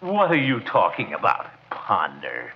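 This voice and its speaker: A blustering, grumbling small-town commissioner in 1940s radio comedy. His pitch swings widely as he fires off blunt, impatient complaints, and the old broadcast sound is dull and muffled. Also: wildly swooping pitch, measured pace, gravelly, full character voice. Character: blustering, irritable small-town commissioner, middle-aged man.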